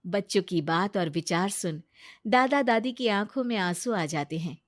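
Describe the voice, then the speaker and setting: A woman's voice: mid-range voice, animated, normal speed, dry recording, studio quality. A woman reading scripted narration for a cartoon moral story.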